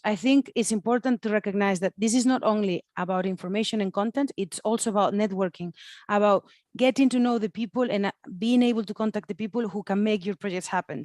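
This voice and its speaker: A woman's voice: mid-range voice, a little mellow, dry recording, studio quality. A woman moderating an online event in an informal, chatty, encouraging way. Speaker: webinar moderator. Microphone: computer mic on video call.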